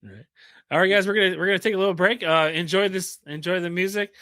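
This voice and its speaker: A man hosting a podcast, easygoing and quick to laugh as he introduces a track. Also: high voice, bright, forceful tone, no room sound, clean studio sound. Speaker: podcast host. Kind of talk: casual interview chat.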